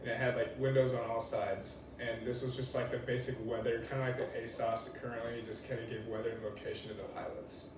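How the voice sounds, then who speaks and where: A man's voice: mid-range voice, level tone, a little fast, few pauses. A young man giving an informal class presentation, talking in a casual, colloquial way. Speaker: student presenter. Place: echoey indoor room, presenting to a class. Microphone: distant room microphone. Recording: very echoey, distant mic, noisy room.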